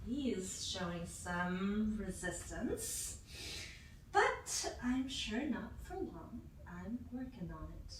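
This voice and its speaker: Woman acting as a cold imperious cult boss lady, slow n swoopy, distant covert recording. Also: mid-range voice, big pitch swings, echoey room, a little hiss or hum. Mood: calculating. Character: menacing woman cult leader, cold and squeamish.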